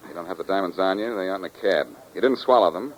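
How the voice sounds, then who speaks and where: An actor playing a brash, glib adventurer with a sardonic, slangy way of talking in a 1940s radio crime drama. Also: male voice, low-pitched, very fast. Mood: rueful, wry. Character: brash, wisecracking male adventurer outwitting crooks and police. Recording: muffled 1940s radio recording.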